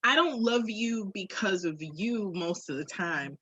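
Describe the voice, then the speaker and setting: A woman with a husky, raspy voice, recorded close and dry. She speaks in a candid, reflective way, stressing her ideas and dropping in casual swearing. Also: medium pitch, expressive, unhurried, sharp tone, no room sound, lo-fi sound. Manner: reflective, emphatic, profane.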